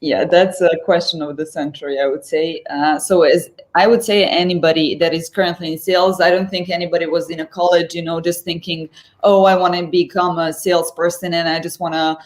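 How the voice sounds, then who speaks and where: A woman's voice: lowish voice, steady tone, brisk. A young woman with a Serbian accent, a guest on a live stream interview, talking fluently with many fillers and restarts. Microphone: video call.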